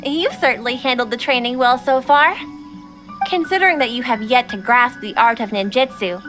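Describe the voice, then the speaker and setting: A woman's voice: on the high side, normal speed, music in the background, lightly acted. A woman playing a formal young training supervisor in a video game, composed and reproachful but patient. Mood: exasperated. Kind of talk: video game character dialogue.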